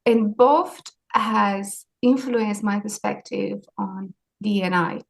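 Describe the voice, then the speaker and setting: A woman's voice: medium pitch, slow pace, creaky. A woman in her forties with a Romanian accent, answering interview questions in a reflective, hesitant way. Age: 40s. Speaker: interview guest, maritime sustainability leader.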